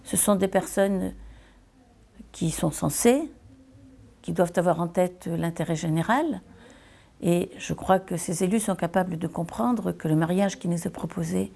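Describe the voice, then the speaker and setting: A woman giving a semi-improvised political statement as a local elected official, deliberate and hesitant, repeating phrases for emphasis. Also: lowish voice, vocal fry, mellow.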